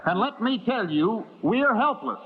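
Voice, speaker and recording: An actor playing a brash, defeatist newspaper reporter in a vintage radio drama, blunt and argumentative. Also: male voice, sits high, very animated, measured pace, husky, exaggerated voice. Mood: challenging. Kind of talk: 1950s radio drama acting. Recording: tinny old radio broadcast.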